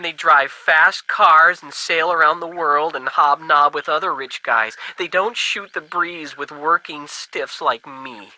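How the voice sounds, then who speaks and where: An actor playing a folksy, skeptical working-class ham radio buddy in a video game, talking in casual old-fashioned slang. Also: male voice, on the high side, bright, forceful tone, dry recording, exaggerated voice, rough recording.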